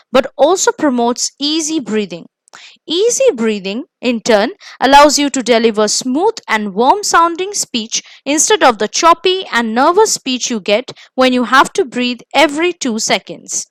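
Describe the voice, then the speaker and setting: Indian lady teaching from a script, high voice that goes up and down a lot, careful emphatic wording. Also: fairly bright tone, no room sound. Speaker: host of an exam coaching video. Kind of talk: scripted instructional presentation.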